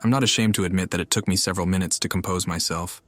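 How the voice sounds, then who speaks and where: Deep, flat-voiced male game commentator. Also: rapid-fire, soft background music. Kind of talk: casual commentary.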